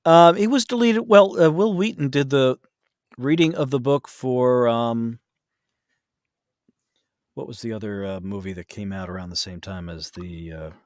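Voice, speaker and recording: A man in a casual podcast conversation, explaining things and giving his opinions in an animated way. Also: mid-range voice, measured pace, no echo, clean studio sound. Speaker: podcast guest, voice actor.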